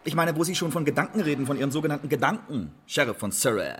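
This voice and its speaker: A defiant Elizabethan playwright under suspicion in a radio drama. His fairly high voice swings widely as he argues, repeats himself and needles with sarcasm. Faint noise sits behind him. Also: lively intonation, fast, a bit bright. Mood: sarcastic. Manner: argumentative, repetitive, sarcastic. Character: defiant, quarrelsome Elizabethan playwright accused of murder. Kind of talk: radio play dialogue.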